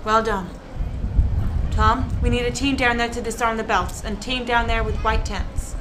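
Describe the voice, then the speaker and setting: An actress playing a commanding security operations chief in a radio drama, giving clipped, urgent orders. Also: female voice, a little high, fairly even intonation, normal speed, background noise, natural voice. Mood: brisk, controlled. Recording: loud music behind.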